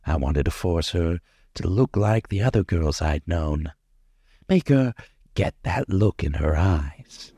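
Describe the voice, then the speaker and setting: An actor playing a brooding, menacing male killer in old-time radio suspense style, intense, halting and obsessive. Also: low voice, animated, warm tone, breathy edge, dry recording, clean studio sound, exaggerated voice.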